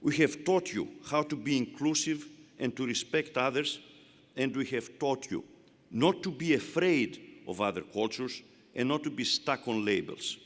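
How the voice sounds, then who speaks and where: A man with a Greek accent giving a formal, measured commencement address to graduates. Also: mid-range voice, lively intonation. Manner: measured, emphatic oratory. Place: large indoor arena. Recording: long arena reverb.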